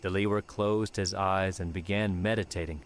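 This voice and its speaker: Male audiobook narrator, low register, scripted adventure prose, noticeable ambient noise behind. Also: steady tone, moderate pace.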